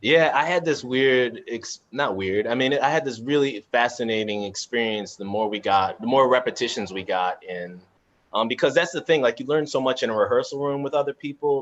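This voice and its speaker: A man chatting casually as he moderates a panel, reflective and often searching for words, heard over a video call. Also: fairly low pitch. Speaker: actor and moderator of a cast discussion. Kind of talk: casual conversational panel chat. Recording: dull, lo-fi video call sound.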